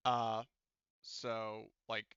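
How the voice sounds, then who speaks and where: A man acting as game master, talking players through the game in a loose, rambling way. His voice is bright and smooth, recorded dry and a little dull. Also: lowish voice, monotone, extremely slow, dry recording. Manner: rambling, conversational explaining. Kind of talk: casual narrating of a tabletop game.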